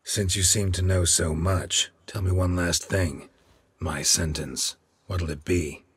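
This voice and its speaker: A very deep, gravelly monster hunter. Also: male voice, slow pace, full character voice. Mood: dry, wary. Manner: terse, deadpan. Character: weary, stoic male monster hunter for hire. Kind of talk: video game voice acting.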